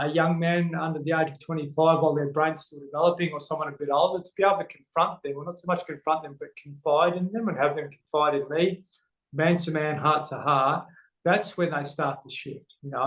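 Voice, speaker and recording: A man's voice: a little high, roomy sound, low-quality recording. A man with an Australian accent speaking earnestly as an interview guest, with many fillers and restarts. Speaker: guest, men's health educator. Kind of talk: casual interview talk.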